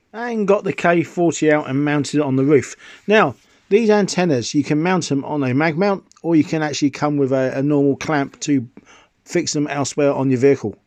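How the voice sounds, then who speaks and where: British man, middle-aged, slightly high voice, chatty hobbyist presenter, explanatory review narration, dry close sound. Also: darkish tone, no room sound. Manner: chatty, explanatory, with rhetorical questions. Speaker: video presenter and radio hobbyist. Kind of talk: informal scripted product review narration.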